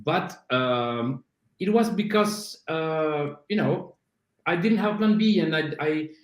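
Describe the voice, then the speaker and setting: A man's voice: a little high, animated, takes it slowly, highs cut off. A middle-aged man from Argentina with an Argentine Spanish accent, a native Spanish speaker chatting as a podcast guest, self-deprecating and full of restarts. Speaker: guest, CrossFit coach. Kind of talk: casual interview chat. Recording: roomy echo.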